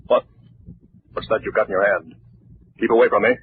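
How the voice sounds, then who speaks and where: An actor playing a curt, self-important, commanding theatrical manager in a 1940s radio drama. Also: male voice, on the low side, steady tone, brisk, lightly acted. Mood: alarmed, indignant. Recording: tinny, faintly noisy old radio recording.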